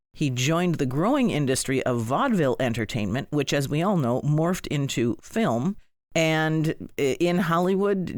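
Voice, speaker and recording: A man's voice: on the high side, dry recording, clean studio sound. A man hosting a podcast, giving conversational commentary with wry, informal asides. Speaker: podcast host.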